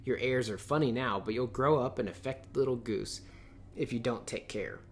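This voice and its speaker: A man's voice: medium pitch, normal speed, a little hiss or hum, close to an everyday voice. A prim elder sister in her teens read by a man, from a storybook. The character is bossy and motherly, inclined to lecture and complain. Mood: lecturing.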